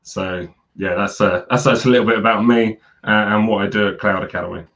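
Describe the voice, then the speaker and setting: A man's voice: lowish voice, steady tone, fairly quick, fairly bright tone, dull audio. A man giving a live webinar talk on a video call, hesitant with many repeats and fillers, his voice worn from a cold. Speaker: guest speaker on cloud security.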